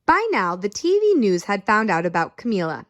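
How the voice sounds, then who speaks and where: A woman reading a children's picture book aloud, pausing to ask her young listeners questions. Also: medium pitch. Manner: slow and expressive, asks listeners questions. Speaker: storyteller.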